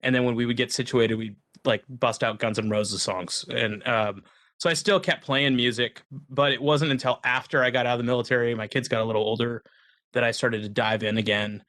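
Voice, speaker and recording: A man's voice: mid-range voice, fairly even intonation, fairly quick, fairly bright tone. A man in his thirties, a musician guest, telling rambling, self-deprecating stories in a casual conversation.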